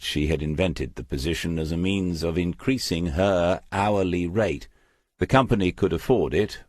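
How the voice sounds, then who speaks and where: Very deep, husky male audiobook narrator. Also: no echo, studio quality. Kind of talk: scripted audiobook narration of a crime novel.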